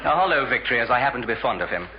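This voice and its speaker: Brisk, polished radio announcer. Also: male voice, medium pitch, sing-song, very noisy. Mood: goading. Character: suave, persistent male radio interviewer and announcer. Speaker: announcer and interviewer. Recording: dull old radio broadcast, loud music.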